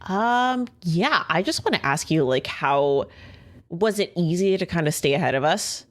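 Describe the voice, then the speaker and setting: A woman's voice: on the low side, expressive, measured pace. A woman, a player, chatting casually and expressively. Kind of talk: casual chat.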